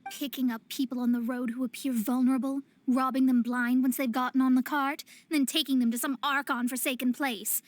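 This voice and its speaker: A woman's voice: sits high, flat delivery, fairly quick, hardly any pauses, close to an everyday voice. An actress playing a proud young female astrologist in a video game, speaking in lofty, formal, theatrical phrases. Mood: stern, challenging. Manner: formal, archaic, lofty diction. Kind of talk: anime-style video game voice acting.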